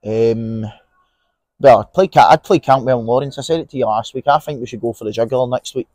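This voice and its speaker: A man's voice: medium pitch, fairly quick, no echo. Scottish man chatting football, dry lapel sound. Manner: relaxed, opinionated, many fillers and restarts. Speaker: podcast co-host. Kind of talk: casual football chat. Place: outdoors in an empty stadium stand. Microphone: lapel mic.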